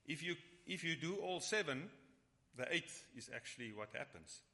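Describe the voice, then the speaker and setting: A man whose first language is Afrikaans leads a church Bible study and prayer, speaking haltingly with frequent restarts. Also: a little high, expressive, vocal fry, bright, forceful tone. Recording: long hall reverb.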